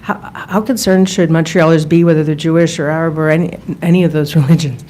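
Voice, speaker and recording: A woman's voice: low voice, fairly even intonation, fast talker, slight background noise. A woman, a news reporter putting direct questions at a press conference. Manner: loud-voiced, direct. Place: indoor press briefing.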